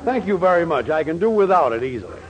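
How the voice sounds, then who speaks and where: Grumpy, swooping radio-comedy store boss. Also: male voice, a little high, big pitch swings, fast, a loud crowd. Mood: dismissive. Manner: curt, sour, fussy. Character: grumpy, stingy middle-aged male store boss. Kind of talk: 1940s radio sitcom acting. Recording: very noisy old 1940s radio recording.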